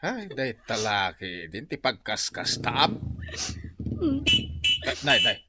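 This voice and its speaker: Actor as slow, swooping Ilocano scolding father. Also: medium pitch, wildly swooping pitch, background noise. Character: scolding, preachy father of grown children. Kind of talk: Ilocano comedy radio drama acting.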